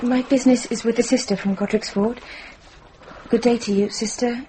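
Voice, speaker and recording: A woman's voice: a little high, steady tone, moderate pace, warm tone, a little hiss or hum, lightly acted, low-quality recording. An actress playing a headstrong, anguished young British noblewoman in a medieval radio drama, speaking formally and insistently. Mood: determined. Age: young adult.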